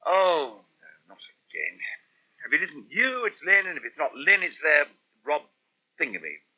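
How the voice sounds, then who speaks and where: A man's voice: a little high, huge pitch swings, moderate pace, full character voice. An actor playing a cheeky, argumentative English man in a 1970s radio soap, talking informally. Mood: exasperated. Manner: informal, teasing, exasperated. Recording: dull, lo-fi old radio tape.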